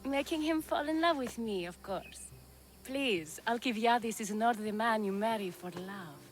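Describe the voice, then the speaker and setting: A woman plays a vain, scheming young bride in a video game cutscene. Her voice is fairly high and her manner smug and dismissive. Faint ambient noise sits behind her. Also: unhurried, close to an everyday voice. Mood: scornful, smug.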